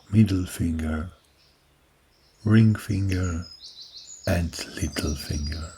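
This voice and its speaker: A man guiding a yoga nidra body scan in a soft, calm voice with long pauses. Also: deep voice, noisy background. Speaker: meditation guide. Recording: birdsong clearly behind the voice. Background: recorded birdsong from woods.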